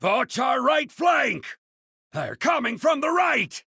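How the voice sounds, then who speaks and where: A boisterous Viking warrior from a video game, fearless and hungry for battle. The voice is raspy and forceful, pushed very high with loud bellowing, and recorded bone dry. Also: male voice, very animated, slow pace, no echo, exaggerated voice. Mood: battle shouting. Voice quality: raspy, gravelly. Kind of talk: video game character voice lines.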